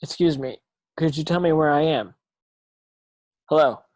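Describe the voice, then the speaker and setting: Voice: medium pitch, measured pace, a little mellow, natural voice. An actor in an amateur table read playing an earnest, urgent schoolboy wizard. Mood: uncertain.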